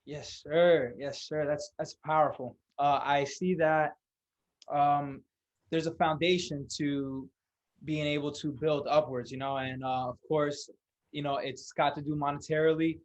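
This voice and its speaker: A man's voice: fairly high pitch, fairly even intonation, unhurried, poor audio. A man hosting an interview podcast in an earnest, slangy way. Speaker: podcast host.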